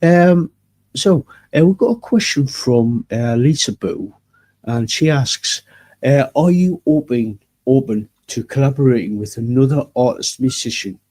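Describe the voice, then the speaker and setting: Casting: male interviewer, mellow dark tone, hesitant, reads viewer questions. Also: mid-range voice, animated, measured pace. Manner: hesitant, many uhs. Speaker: interviewer host.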